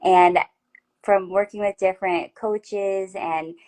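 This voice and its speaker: A woman's voice: mid-range voice, fairly even intonation, takes it slowly, darkish tone. A woman hosting a casual interview over a video call, talking in an affirming, chatty way with frequent restarts. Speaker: host.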